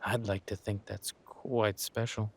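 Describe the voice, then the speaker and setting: A man playing a flirtatious, smug villain king in a roleplay audio drama, talking to his spouse in a husky, teasing, languid way. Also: lowish voice, level tone. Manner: teasing, languid, amused, drawn-out phrasing with murmured asides.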